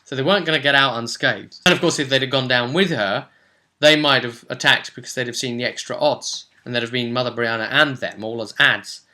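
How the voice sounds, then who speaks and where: Bright-voiced man recapping a tabletop game. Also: medium pitch. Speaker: host and game master.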